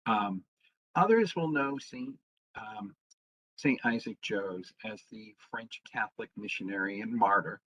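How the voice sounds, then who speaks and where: A man, a town historian, giving an informal public history talk, pausing often with ums and uhs. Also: fairly low pitch, fairly bright tone, a little hiss or hum. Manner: halting, fills pauses with frequent ums. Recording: dull lo-fi sound.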